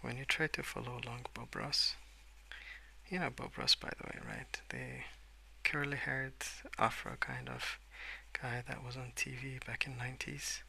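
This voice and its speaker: A man speaking in a soft, hushed ASMR ramble, drifting between murmur and whisper in a hesitant, unhurried way. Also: mid-range voice, very animated, creaky, husky, low-quality recording. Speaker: host of an ASMR colouring channel. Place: indoors at a wooden desk.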